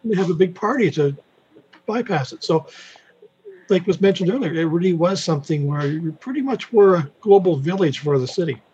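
A man speaking casually as a book club member, in a deliberate and halting way. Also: sits high, expressive, darkish tone, husky. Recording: dull conference call audio.

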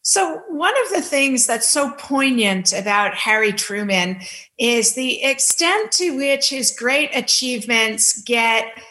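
A woman interviewer asking questions in a measured, conversational way. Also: on the high side, lively intonation, sharp tone.

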